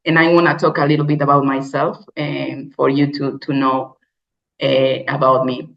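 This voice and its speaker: A woman from Spain with a Spanish accent giving a personal keynote talk, speaking haltingly with frequent restarts and simple phrasing. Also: extremely low pitch, little pitch movement, creaky. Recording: dull lo-fi recording.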